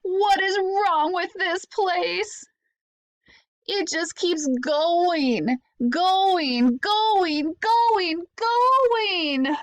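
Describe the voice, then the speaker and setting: A woman's voice: very high voice, extremely slow, no echo, pitched above the performer's own voice, exaggerated voice. A woman reading a storybook aloud as an exasperated, sarcastic young boy hero who complains in an indignant, whiny way. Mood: exasperated shouting.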